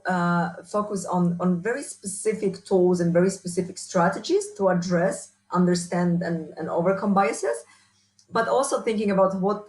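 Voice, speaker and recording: A woman with a strong Polish accent answering interview questions as a researcher and trainer, often restarting and filling pauses. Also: lowish voice, a little mellow, poor audio. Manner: hesitant, many restarts and fillers. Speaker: workshop speaker, researcher.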